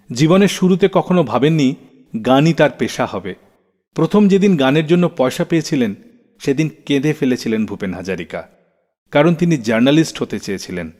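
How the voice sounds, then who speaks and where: Animated male radio host, reverberant. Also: mid-range voice. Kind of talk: scripted storytelling radio narration about music. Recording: long reverb, faint music behind. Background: faint music.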